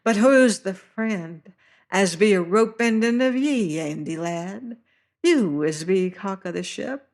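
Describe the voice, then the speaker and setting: A reader voicing a fat, sly sailor man who talks in a soft, high-pitched, falsely friendly voice in old sea dialect. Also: animated, slow. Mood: oily, wheedling.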